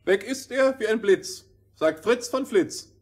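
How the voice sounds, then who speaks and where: Ein Schauspieler spielt in einem Märchenhörspiel einen frechen Gefährten, der neckend in singenden Reimen spricht. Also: male voice, high-pitched, expressive, unhurried, breathy edge, full character voice. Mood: cheeky. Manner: sing-song rhyming couplets, teasing. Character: cheeky rhyming companion in a fairy tale. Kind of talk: radio play fairy tale acting.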